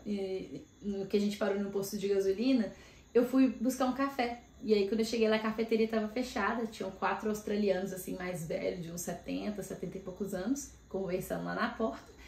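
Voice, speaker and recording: A woman in casual chat, vlogging and telling about her week with lively asides. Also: medium pitch. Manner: chatty, animated storytelling with frequent asides. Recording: echoey room.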